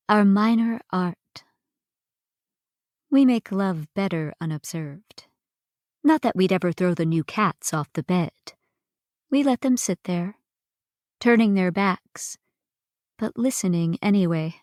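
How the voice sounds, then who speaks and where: A woman performs poetry in a dramatic recitation, phrasing it expressively and taking her time. Her voice is mid-pitched, in a very dry, close recording. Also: a little mellow, dry recording.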